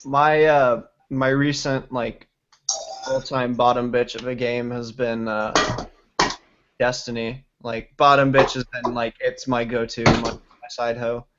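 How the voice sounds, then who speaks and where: A man's voice: mid-range voice, level tone, measured pace. A man from Texas in casual, slangy gaming chat, talking in a laid-back, rambling way. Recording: dull lo-fi stream audio with noise.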